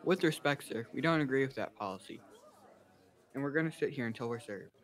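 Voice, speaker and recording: A man's voice: medium pitch, animated, a little fast, a bit bright, natural voice. A man acting a polite, determined young student protester in an amateur radio drama.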